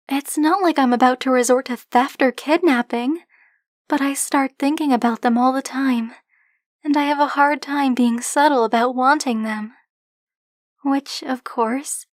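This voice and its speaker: A woman's voice: high-pitched, no room sound, studio quality. A woman playing a possessive, affectionate dragon-blooded librarian in an ASMR roleplay, speaking coyly and candidly to the listener in a teasing, conversational way. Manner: coy, teasing, conversational, fond pet names.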